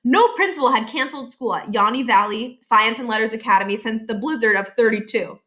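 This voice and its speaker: Woman, storybook reader, somewhat high voice, expressive read-aloud narration, children's novel. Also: a little fast.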